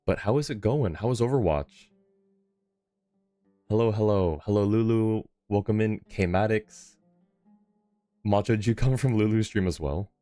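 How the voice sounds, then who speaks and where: A man's voice: on the low side, a little slow, pauses often, warm tone, dry recording, faint music behind. A man hosting a livestream, chatting casually and informally with his viewers in a friendly, welcoming manner. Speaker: livestream host. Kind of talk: casual gaming stream chat.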